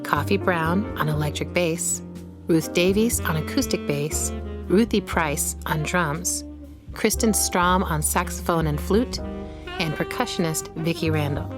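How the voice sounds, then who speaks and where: A woman's voice: lowish voice, a little slow. A woman host reading scripted closing credits in narration style. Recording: music under the voice. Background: music with guitar.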